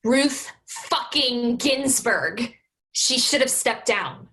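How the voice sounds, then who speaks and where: A woman's voice: fairly high pitch, expressive, extremely slow, sharp tone, full character voice. A woman playing a frustrated, resentful grown daughter in a staged reading of a play. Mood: angry, sarcastic.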